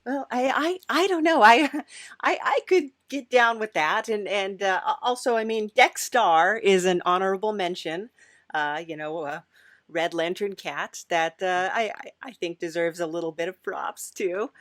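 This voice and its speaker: A woman hosting an improvised comic interview, speaking in a hesitant, tentative way with many halting starts. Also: medium pitch, fairly bright tone, no echo, studio quality. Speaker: podcast host.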